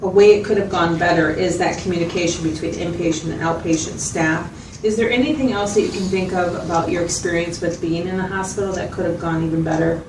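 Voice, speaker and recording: A woman acting as a supportive female clinician speaks in a fairly low voice. She explains things conversationally and reflects back what she hears. The sound is distant and echoey, with clear noise behind her. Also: steady tone, a little fast, few pauses, lightly acted. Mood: supportive, explanatory. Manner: reflective, explanatory, checking in with the listener. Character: attentive, supportive female mental health clinician. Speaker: mental health clinician facilitating a directive. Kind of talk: role-played clinical interview, conversational.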